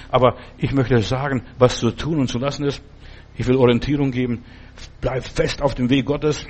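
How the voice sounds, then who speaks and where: A man's voice: medium pitch, darkish tone, husky. A man preaching a sermon as a pastor, telling his own story with quoted dialogue and frequent restarts.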